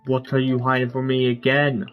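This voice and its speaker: A man's voice: mid-range voice, monotone, moderate pace, highs cut off, faint music behind. A man giving casual, chatty commentary while streaming a game.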